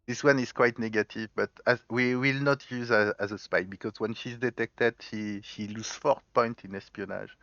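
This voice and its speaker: A man's voice: medium pitch, steady tone, normal speed, hardly any pauses, fairly bright tone, no echo, dull audio. A man with a mixed French, Spanish and Galician accent, giving let's play commentary and explaining his choices step by step as he thinks aloud. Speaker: gaming channel host.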